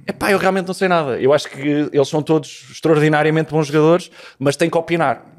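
A man's voice: on the high side, steady tone, quick pace. A man with a European Portuguese accent, co-hosting a casual football chat, rambling and self-deprecating. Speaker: podcast co-host.

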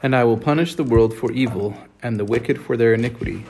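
A man's voice: mid-range voice, a little fast, a little mellow, a little hiss or hum. Man reading scripture aloud. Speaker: reader leading a family scripture reading. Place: home recording with family.

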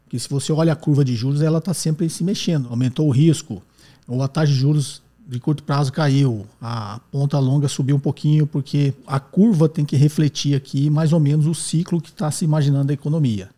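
A man hosts a podcast and answers a listener's question in an explanatory way. His voice is mellow and warm at an ordinary middle pitch, and he keeps checking in with a quick "right?" as he walks through each point. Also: fairly even intonation. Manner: explains step by step, often tags sentences with "né?".

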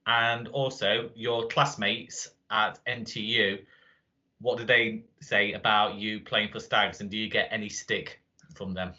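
A man co-hosting a podcast asks clear, structured interview questions. His voice is lowish but bright and forceful, with a dull, lo-fi sound. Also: level tone, unhurried. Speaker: podcast co-host. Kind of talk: casual interview chat.

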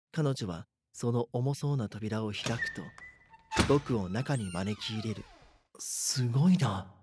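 A man's voice: medium pitch, darkish tone, slight background noise, lightly acted. A man voice-acting a timid, frightened young man who narrates in the first person in an audio drama, hesitant and uneasy.

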